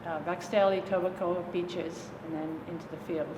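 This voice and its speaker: A woman in her sixties with a lowish voice who keeps pausing and lets her sentences trail off. She is recorded in an echoey space with a clear hum of noise around her. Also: steady tone, darkish tone. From Ontario, Canada. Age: 60s. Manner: hesitant, trailing off. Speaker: interview guest, former player. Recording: echoey, noisy.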